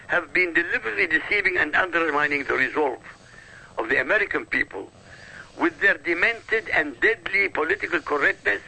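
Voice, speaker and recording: A man's voice: a little high, creaky, sharp tone, husky, rough recording. A man speaking as a radio guest, a native Arabic speaker giving polemical commentary in an emphatic, oratorical manner.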